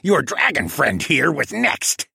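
A man's voice: on the high side, huge pitch swings, slow, creaky, pushed and bright, slightly rough, no room sound, clean studio sound, voice pushed up, full character voice. A man voicing a hateful, fanatical penguin-man assassin in an audiobook, with a squawking, hissing, sneering delivery. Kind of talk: acted audiobook dialogue.

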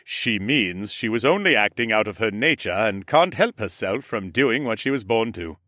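A man voicing a stately, formal male ram lord who speaks in measured, courtly phrases. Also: fairly low pitch, expressive, sharp tone, dry recording, clean studio sound. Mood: earnest.

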